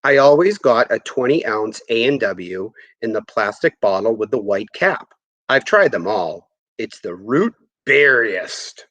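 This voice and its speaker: A man reading a first-person story aloud in an expressive, conversational way. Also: on the low side, unhurried, no echo. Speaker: audiobook reader.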